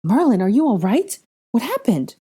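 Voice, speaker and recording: Spirited young witch for an audiobook, female reader: urgent, irritable, demanding, pitched fairly high. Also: animated, drawn-out words, mellow, husky, heavily acted. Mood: alarmed, urgent.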